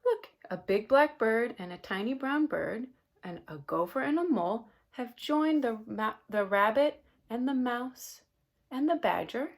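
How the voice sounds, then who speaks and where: A woman reading a picture book aloud to children, expressive, with gasps and chatty asides. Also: on the high side. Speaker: storybook reader.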